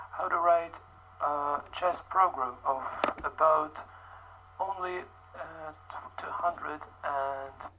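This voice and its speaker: Man in a hissy old clip. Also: fairly high pitch. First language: Russian. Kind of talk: programming tutorial narration. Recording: older noisy clip played back.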